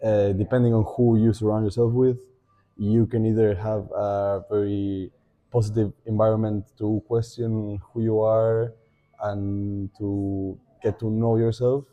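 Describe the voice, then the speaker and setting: A young man with an Argentine Spanish accent, Spanish as his first language, talking as a podcast guest in a thoughtful way, pausing to think and often restarting his sentences. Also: lowish voice, flat delivery, dull audio. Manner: reflective, thinking aloud with many fillers and restarts. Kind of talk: casual podcast conversation.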